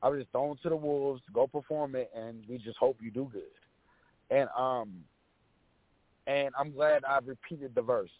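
A raspy-voiced man talks through his story at an easy pace, filling gaps with ums and restarts. The sound is tinny and narrow, like a phone line, and dry, with no room around it. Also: mid-range voice, normal speed, dry recording. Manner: relaxed storytelling with many fillers. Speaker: rapper guest. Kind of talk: casual interview chat.

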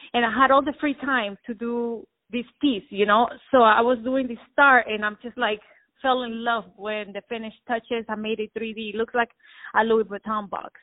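A woman from Colombia chats freely as a guest on a phone interview, her stories tumbling out, peppered with "like." Her voice is on the higher side. The line is tinny and phone-like, dry with no room sound. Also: no echo. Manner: chatty, fast-flowing, many "like"s. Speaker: guest artist. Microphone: telephone.

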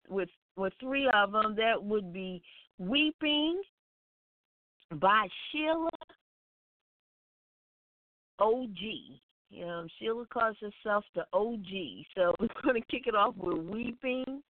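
Woman hosting a music radio show, takes her time, kinda halting and informal, sounds like shes on a phone line. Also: medium pitch, no room sound. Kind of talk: casual music show introduction. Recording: phone-like narrow band sound.

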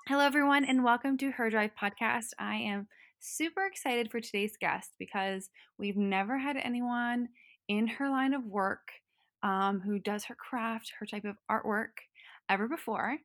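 A woman's voice: a little high, moderate pace, fairly bright tone, no echo, studio quality. A woman hosting an interview podcast, asking long, rambling questions filled with "um". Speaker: podcast host.

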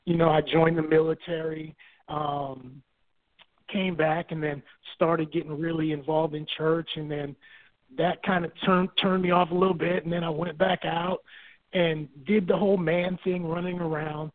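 A man from Barbados, a guest and author, telling his life story in a relaxed way, repeating words as he thinks and giving homely examples. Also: fairly high pitch, moderate pace. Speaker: guest, author and marriage minister. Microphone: phone. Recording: tinny phone line.

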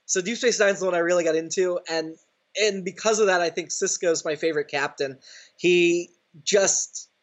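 A man's voice: high-pitched, normal speed. A man speaking as a podcast guest, chatting casually and explaining things with earnest enthusiasm. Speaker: podcast guest, audio drama writer. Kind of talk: casual interview chat. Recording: slightly dull remote-call sound.

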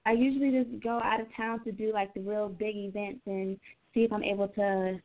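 A woman's voice: medium pitch, steady tone, brisk. Young woman on a tinny phone line. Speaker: singer being interviewed as guest. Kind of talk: casual, conversational interview answers.

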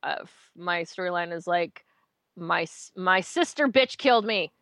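Casual interview guest: a woman with a low-pitched voice, chatty and wry, recorded dry and close. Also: expressive, a bit bright, dry recording. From United States. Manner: chatty, self-mocking, quick asides. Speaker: voice actor guest.

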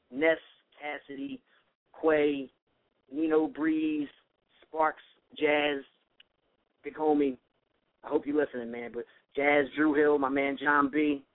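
A man with a Philadelphia accent, a rapper guest on a radio show, talking in easygoing street slang. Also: medium pitch. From South Philadelphia. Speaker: guest musician. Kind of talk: casual interview chat. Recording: tinny phone line.

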